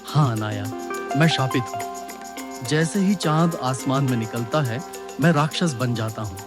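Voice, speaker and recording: Earnest young prince guy acting, haunted by a curse, medium pitch, cartoon drama with loud music. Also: a little slow, close to an everyday voice. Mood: weary, confessing. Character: troubled young cursed prince, fearful, remorseful. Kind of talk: Hindi animated moral story dialogue.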